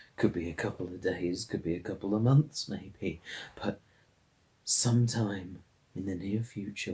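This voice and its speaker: An actor playing a melancholy colourblind man, delivering an intimate, halting and understated dramatic monologue. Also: low-pitched, darkish tone, poor audio. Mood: fearful, sorrowful. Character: melancholy colourblind man losing his regained colour vision.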